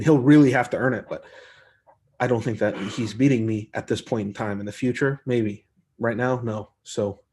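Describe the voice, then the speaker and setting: Man, soft-spoken, casual stream chat, easygoing, rambling, mid-pitched voice. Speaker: arm wrestler hosting a live chat stream. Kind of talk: casual live-stream chat reading comments.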